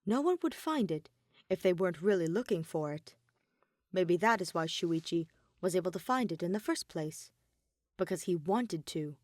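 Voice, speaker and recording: A woman reading dramatic fan fiction narration in a deliberate way, pausing between phrases. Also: fairly low pitch, darkish tone, no room sound, clean studio sound. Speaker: podfic reader.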